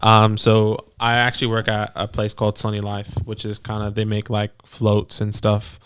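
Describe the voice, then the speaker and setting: A man's voice: on the low side, completely flat delivery, normal speed, a bit bright, a little hiss or hum. A man in his late twenties co-hosting a livestream in casual, conversational chat. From lives in Australia. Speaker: co-host of a fantasy football show.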